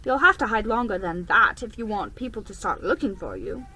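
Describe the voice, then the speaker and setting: A woman's voice: mid-range voice, lively intonation, brisk, sharp tone, breathy edge, poor audio. A woman voicing a teasing, patronizing big brother. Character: teasing eldest boy, good-natured and patronizing. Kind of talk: storybook reading.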